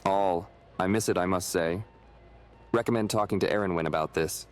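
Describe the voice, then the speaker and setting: Male game role: eager, friendly fantasy partygoer acted by a man, lowish pitch. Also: normal speed, faint music behind, natural voice.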